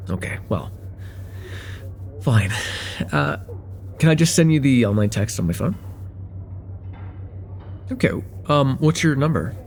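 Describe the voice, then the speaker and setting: A man playing a friendly young college guy, lively pitch swings. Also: slow pace, pauses often, slight background noise. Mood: bashful, amused. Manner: casual, hesitant, teasing, with soft chuckles and hums. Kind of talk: slice-of-life ASMR roleplay, one-sided conversation with the listener.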